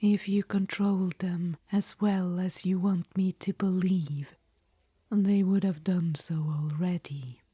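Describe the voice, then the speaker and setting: A woman's voice: on the low side, fairly even intonation, slow, dark tone, breathy edge, no echo. A woman voicing an imperious, fond undead witch-queen in an ASMR roleplay, whispering throughout and calling the listener darling. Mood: haughty. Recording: dry close-mic whisper.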